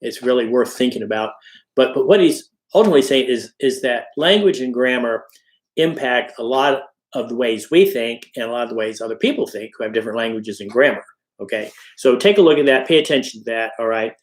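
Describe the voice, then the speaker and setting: A man's voice: mid-range voice, brisk. Middle-aged male instructor, casual lecture delivery, constant check-ins with the class, echoey room. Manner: conversational, frequent "okay?" and "all right?" check-ins. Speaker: college instructor. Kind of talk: informal recorded lecture.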